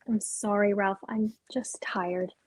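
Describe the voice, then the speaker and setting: A woman's voice: medium pitch, steady tone, takes it slowly. A woman playing a weary, wry, guarded young suburban woman in a dramatic script reading, speaking in a dry, understated way.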